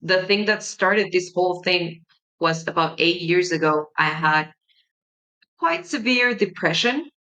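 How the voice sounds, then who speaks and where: A young woman from Mexico, a native Spanish speaker with a Mexican Spanish accent, hosting her podcast in a gentle, reflective monologue with soft pauses. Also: on the low side, animated, low-quality recording. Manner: gentle, warm-hearted, unhurried with soft pauses. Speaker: podcast host.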